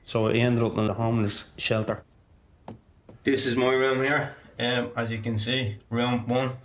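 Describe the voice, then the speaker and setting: A man's voice: medium pitch, flat delivery, a little slow, slight background noise. A man from inner-city Dublin with a thick Irish accent, giving an informal personal account as a client of a charity. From Dublin, Ireland. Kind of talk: informal personal testimony to camera.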